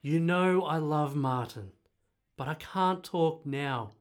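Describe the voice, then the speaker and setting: A man's voice: a little high, slow pace. Withdrawn, evasive Australian father played by a man. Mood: evasive, weary. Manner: curt, evasive. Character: withdrawn, secretive, weary father. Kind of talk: radio drama acting.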